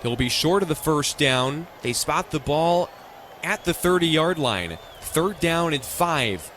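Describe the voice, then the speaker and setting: A fairly high-pitched American man works as a radio play-by-play announcer at a football game. He delivers the action in short, clipped phrases. Outdoor stadium noise is audible behind him. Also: animated, takes it slowly, fairly bright tone. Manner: clipped present-tense phrases.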